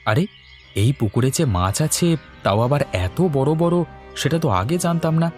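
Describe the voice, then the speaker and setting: A man's voice: medium pitch, expressive, normal speed, a little mellow, faint music behind, lightly acted. A man voicing a mild, inquisitive village man in a cartoon, with a questioning, conversational manner. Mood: puzzled. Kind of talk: cartoon voice acting.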